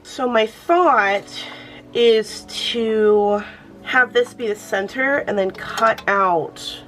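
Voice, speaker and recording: A woman's voice: on the high side, drawn-out words, a bit bright. A young woman hosting a planner channel, chatting casually and thinking aloud as she crafts, her voice a bit off from a cold. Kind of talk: casual plan-with-me chat.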